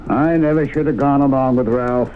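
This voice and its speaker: An actor playing a weary, defensive middle-aged husband with hesitant, folksy speech in a 1970s radio drama. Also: male voice, medium pitch, steady tone, normal speed, close to an everyday voice. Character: defensive, weary small-town husband hiding something. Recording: muffled, noisy 1970s radio broadcast.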